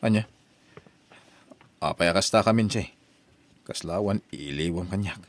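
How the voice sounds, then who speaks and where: A man's voice: deep voice, measured pace, no echo. A man with an Ilocano accent acting as a regretful husband in an audio drama. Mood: puzzled. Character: low-key husband, regretful former drinker, pleading.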